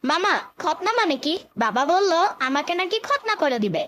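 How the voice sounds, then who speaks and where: A woman acts out a small, naive cartoon boy with a childlike, very high voice. The pitch swings freely as he questions the grown-ups. He sounds innocent, curious and a little stubborn. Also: very animated, moderate pace, fairly bright tone, a little hiss or hum, full character voice. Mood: puzzled, innocent. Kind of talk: comic cartoon dub.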